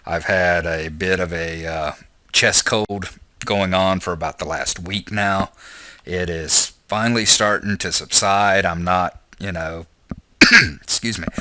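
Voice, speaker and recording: A hoarse man rambling folksily through a casual gameplay vlog, full of fillers. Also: sits low, pushed and bright, dry recording. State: hoarse from a chest cold. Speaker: channel host.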